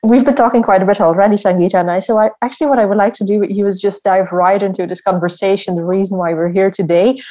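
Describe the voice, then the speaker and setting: A woman's voice: medium pitch, fairly even intonation, quick pace, hardly any pauses, dark tone. A woman hosting a podcast conversationally, circling a little as she frames her questions. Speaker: podcast host and interviewer.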